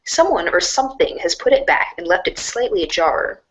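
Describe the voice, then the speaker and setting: A woman's voice: fairly low pitch, sing-song, moderate pace, rough recording. A woman narrating a read-along storybook in a scripted storytelling style.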